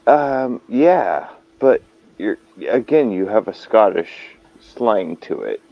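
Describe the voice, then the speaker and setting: A man's voice: on the low side, very animated, slow pace, creaky, dry recording, muffled recording. A man hosting a casual live chat, rambling and hesitant as he talks. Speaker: host.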